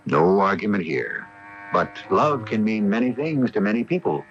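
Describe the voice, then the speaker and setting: A man hosting a radio mystery with measured, wry, dramatic scripted narration. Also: on the low side, very animated, slight background noise. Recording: dull AM radio recording with music.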